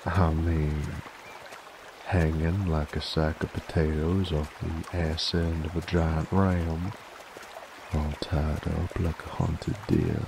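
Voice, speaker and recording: Man acting a very deep, gravelly cowboy werewolf. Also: background noise, full character voice. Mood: wistful, easygoing. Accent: American Southern or Western drawl. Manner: folksy, drawling, wry, unhurried. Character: laid-back, teasing, dominant male werewolf bounty hunter. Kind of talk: roleplay ASMR monologue.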